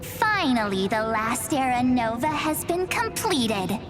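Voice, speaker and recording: A very high, girlish game heroine, theatrical and playful. She delivers her lines slowly, with a flair for drama. Loud music plays behind her. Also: sing-song, heavily acted. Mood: solemn, theatrical. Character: playful young woman posing as solemn time chronicler. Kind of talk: video game voice acting.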